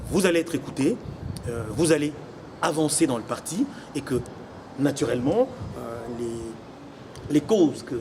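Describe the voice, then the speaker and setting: A young man from Congo who lives in Belgium, giving deliberate, explanatory interview answers as an election candidate. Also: on the high side, expressive. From Congo, living in Belgium since 1984. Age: young adult. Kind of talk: semi-formal interview answers. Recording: noisy location with background ambience.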